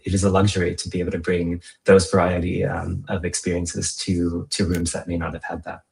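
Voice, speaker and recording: A man's voice: on the low side, gravelly, rough recording. A man with an American accent speaking as a panelist, reflective and a little wry. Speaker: panelist, founder. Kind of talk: panel discussion.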